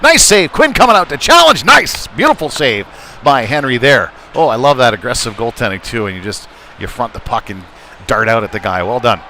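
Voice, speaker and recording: Man calling hockey over clear rink noise. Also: mid-range voice, very animated, a little fast, pushed and bright. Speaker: play-by-play commentator. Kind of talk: live ice hockey play-by-play commentary. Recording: noisy live arena sound. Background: rink noise and ambience.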